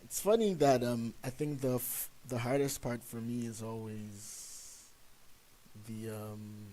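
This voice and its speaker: A man's voice: mid-range voice, slow pace, mellow, poor audio. A man chatting casually and self-consciously on a live stream as he works, nervous and hesitant, over a hissy microphone. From Nigeria. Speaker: streamer and 3D sculpting artist. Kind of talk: casual live-stream chat while sculpting.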